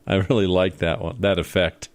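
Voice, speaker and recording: Low-voiced man hosting a comedy radio show. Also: level tone, normal speed, gravelly, no room sound. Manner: mock-serious interviewer, leading questions. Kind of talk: playful comedy radio interview. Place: studio.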